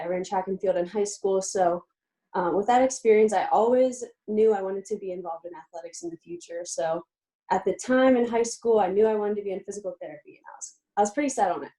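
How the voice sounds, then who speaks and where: A young woman from Pennsylvania speaks as a guest on an interview show, her voice mellow and warm at a middling pitch. She talks eagerly and chattily, often pausing on "um" as she goes. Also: poor audio. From Lebanon, Pennsylvania, USA. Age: young adult. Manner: eager, chatty, with frequent "um" fillers. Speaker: guest, college student-athlete. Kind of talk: casual interview.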